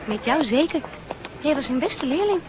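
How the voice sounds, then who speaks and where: Teen girl role: high, friendly, level-headed, radio drama over noisy street ambience. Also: animated, normal speed. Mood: friendly, amused. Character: friendly, sensible teenage schoolgirl. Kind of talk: Dutch radio play acting.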